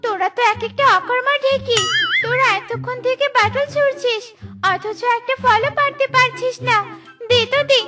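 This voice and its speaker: Squeaky, bossy little cartoon bird. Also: female voice, normal speed, sharp tone, far from the mic, soft background music. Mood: scornful, boastful. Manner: scolding, boastful. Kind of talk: cartoon character voice acting.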